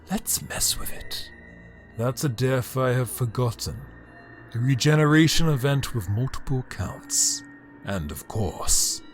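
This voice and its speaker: Slow, theatrical villainous emperor acted by a man. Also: medium pitch. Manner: theatrical, grandiose villain monologue, dramatic pauses. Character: bitter, megalomaniac male emperor, ancient disembodied time-travelling being. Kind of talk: scripted dramatic audio monologue.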